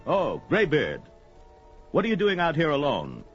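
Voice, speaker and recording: An actor playing a young, wary, mercenary barbarian warrior with a bold, declamatory manner in an audio drama. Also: male voice, fairly high pitch, wildly swooping pitch, measured pace. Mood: startled, bold. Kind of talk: 1970s audio drama record acting. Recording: dull vintage story record with music.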